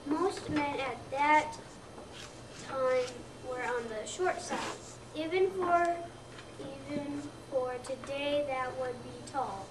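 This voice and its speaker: High young child's voice, echoey and distant. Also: slow pace. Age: child, about seven or eight. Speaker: second-grade student reader. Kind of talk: readers theater, reading a scripted narration aloud. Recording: echoey room, distant mic, noisy background.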